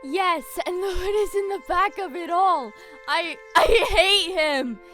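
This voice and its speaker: A woman's voice: very high-pitched, a little slow, pushed and bright, faint music behind, full character voice. A woman voicing a frightened young woman trapped under a crook's control, speaking anguished and stammering in a radio drama.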